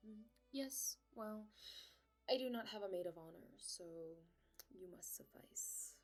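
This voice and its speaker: A woman's voice: medium pitch, measured pace, music in the background, lightly acted. A woman playing an anxious, lovelorn young princess bride in a romantic audio roleplay, speaking formally and hesitantly. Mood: teasing, soft. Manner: formal regal phrasing, hesitant, breathy pleading.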